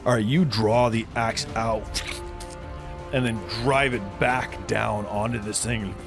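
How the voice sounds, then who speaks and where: Male game master, lower register, vivid improvised storytelling with dramatic description, light music underneath. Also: fairly even intonation, slow pace, vocal fry. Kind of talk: improvised tabletop game narration.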